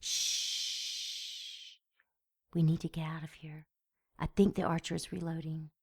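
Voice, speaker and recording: An actress playing a mysterious, possessive female rescuer in an audio drama, hushed and coaxing. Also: low voice, little pitch movement, takes it slowly, breathy edge, full character voice. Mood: hushed soothing.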